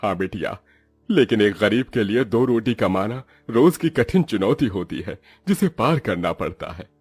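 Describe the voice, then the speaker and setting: A weary, middle-aged village father in a cartoon, acted by a man. He is poor and easily irritated, grumbling in a fairly low voice with music underneath. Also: lively intonation, moderate pace, darkish tone, husky, dry recording. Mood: resigned. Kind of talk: Hindi moral-story cartoon voice acting.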